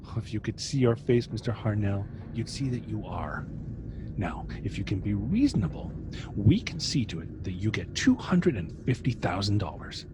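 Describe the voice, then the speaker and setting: A man's voice: lowish voice, very animated, moderate pace, noisy background. A man playing a pushy, wheedling visitor in a radio drama, speaking insistently and emphatically. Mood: persuasive. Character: pushy, wheedling visitor urging a house sale. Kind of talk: 1940s radio acting.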